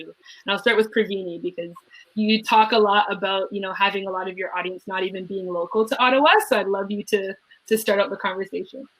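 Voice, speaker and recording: A woman's voice: mid-range voice, a bit bright, low-quality recording. A woman with a mid-range voice hosts a panel discussion. She speaks conversationally, encouraging her guests and phrasing her questions in an effusive way. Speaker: panel host.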